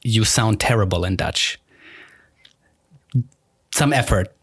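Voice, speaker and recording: A man's voice: lowish voice, slow, fairly bright tone, slightly rough, no room sound, studio quality. A German man hosting a podcast, talking casually in a rambling, self-deprecating way with many restarts. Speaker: podcast host. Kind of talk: casual conversation.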